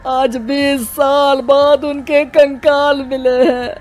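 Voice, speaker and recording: A woman acts out an old village headman in a cartoon moral story. The voice is rather high and weary, dragging with grief as he pleads. Music plays underneath. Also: level tone, slow pace, darkish tone. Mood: grief-stricken. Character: grieving elderly village headman, weary father mourning his son. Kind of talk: Hindi animated moral story dialogue.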